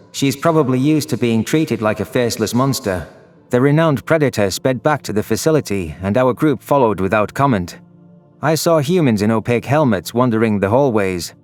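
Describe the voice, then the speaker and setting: Male narrator, medium pitch, scripted dramatic sci-fi storytelling with music underneath. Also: animated, brisk. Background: background music.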